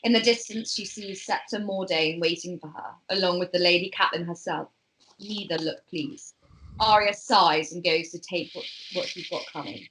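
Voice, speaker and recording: A woman's voice: fairly low pitch, moderate pace, fairly bright tone, slight background noise, rough recording. A woman reading stage directions as narrator in an amateur script table read.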